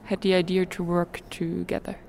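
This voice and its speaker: Gravelly-voiced woman interviewer. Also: on the low side, little pitch movement, normal speed, darkish tone, slight background noise. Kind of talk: interview questions.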